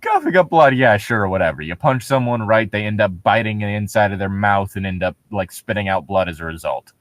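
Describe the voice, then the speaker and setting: Lively, low-voiced sarcastic man. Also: fairly quick, dry recording. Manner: sarcastic, joking, swears casually. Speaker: co-host of a comedic story reading. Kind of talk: mocking read-aloud with casual banter.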